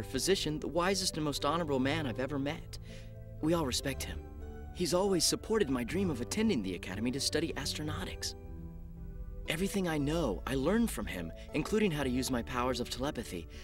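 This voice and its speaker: A man's voice: fairly high pitch, close to an everyday voice. A man playing an earnest, thoughtful young telepath cadet in an English anime dub. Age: young adult.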